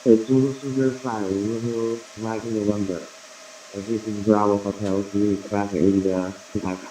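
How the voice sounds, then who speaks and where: Dark-voiced hesitant radio operator, a man. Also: lowish voice, little pitch movement, a little hiss or hum, rough recording. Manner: hesitant, apologetic, halting. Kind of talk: amateur radio contact.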